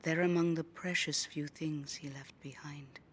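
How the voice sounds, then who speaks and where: An actress playing a grieving former fighter pilot, giving a measured, reflective testimony that often drops to a breathy hush. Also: female voice, sits low, steady tone, slight background noise. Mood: grieving, resigned. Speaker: former wingman recounting the war. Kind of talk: dramatic documentary-style testimony.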